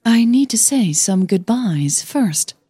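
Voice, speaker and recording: Lady doing a sweet polite young woman in an audio story, slightly husky, soft mellow tone. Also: a little high, lively intonation, takes it slowly, no echo, studio quality, voice pushed up, full character voice. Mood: pleased.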